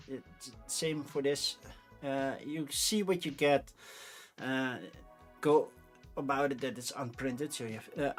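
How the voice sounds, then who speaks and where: Rambling Dutch man, slightly high voice. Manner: rambling, informal, thinking aloud. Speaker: livestream host reviewing board game crowdfunding. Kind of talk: casual livestream chat.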